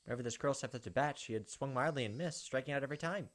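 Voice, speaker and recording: A man's voice: mid-range voice, very fast. A man hosting a gameplay video, reading a visual novel aloud as dramatized, playful narration.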